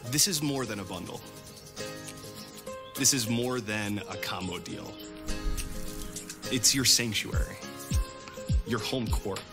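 A man's voice: on the low side, slow, pauses often. Male commercial narrator over music. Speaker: advertising narrator.

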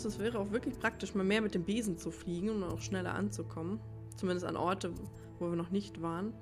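A woman giving casual gameplay commentary, musing as if thinking aloud to herself. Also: mid-range voice. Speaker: let's play gamer.

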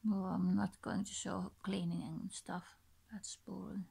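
A woman in her thirties with a Finnish accent, talking casually and hesitantly to the camera in short, halting, breathy phrases. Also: mid-range voice, little pitch movement, mellow. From Finland. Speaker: vlogger introducing her channel. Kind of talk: casual unscripted talk to camera. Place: small bedroom, indoors. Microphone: built-in device mic, close to face.

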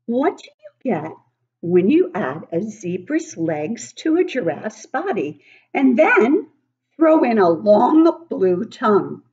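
An older woman reads a picture book to small children in a slow, highly animated voice. She is expressive and encouraging, often turning to the listeners with questions. The home recording sounds a little dull. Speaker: teacher reading aloud to young children. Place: living room at home, indoors.

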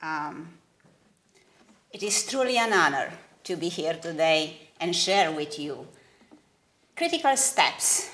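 A middle-aged woman with a Romanian accent giving a gracious, measured acceptance speech at a podium. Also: deep voice, a bit bright. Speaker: award recipient giving a lecture. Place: wood-panelled lecture hall. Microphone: podium microphone.

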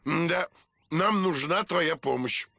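A man's voice: fairly high pitch, slow, creaky, bright, forceful tone, dry recording, full character voice. A man voicing a hesitant, pleading tiki mask spirit sidekick in a game dub. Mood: urgent. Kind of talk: Russian game dub acting.

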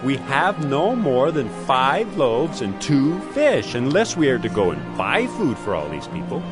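An actor playing a concerned, practical male disciple in a dramatized scripture reading, questioning and animated. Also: medium pitch, unhurried. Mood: doubtful. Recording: music bed behind voice.

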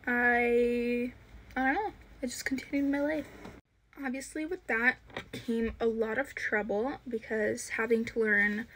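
A woman's voice: on the high side, fairly bright tone. An eighteen-year-old woman from Italy chatting casually while doing her makeup in her bedroom, filming herself on a phone held close. She rambles a bit and leans on fillers. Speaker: vlogger. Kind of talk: casual get-ready-with-me chat. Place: small bedroom indoors. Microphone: phone camera at arm's length.

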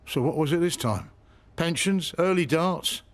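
A man's voice: a little high, measured pace, natural voice. An actor playing a grumpy, dry, middle-aged British former policeman in a naturalistic radio soap. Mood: dry, weary.

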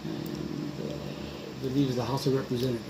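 A man's voice: mid-range voice, level tone, fairly quick, vocal fry. A middle-aged man chatting casually as he answers quiz questions as a contestant. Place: home dining room, indoors. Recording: noisy room, camera across table.

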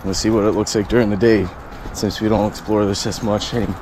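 Low-voiced man vlogging outdoors, noisy phone audio. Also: flat delivery, quick pace, darkish tone. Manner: rambling, casual, swears, talks to camera while walking a dog. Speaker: vlogger exploring places. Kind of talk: casual walking vlog. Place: woods outdoors.

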